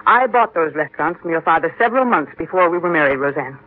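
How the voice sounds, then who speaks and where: A woman's voice: sits low, lively intonation, quick pace, faint music behind. Casting: a firm, self-possessed stepmother, vintage radio drama, narrow lo-fi recording. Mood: earnest, composed. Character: composed, firm stepmother explaining herself. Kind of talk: 1940s-50s radio drama acting. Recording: tinny old radio recording.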